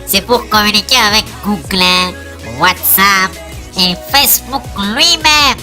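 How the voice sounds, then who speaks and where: A woman's voice: mid-range voice, takes it slowly, pushed and bright. Woman as a cocky cartoon kid. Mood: mocking, know-it-all. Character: cocky, mocking child inventor of little robots. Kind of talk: French-language cartoon dialogue. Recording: music behind the voice. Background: music.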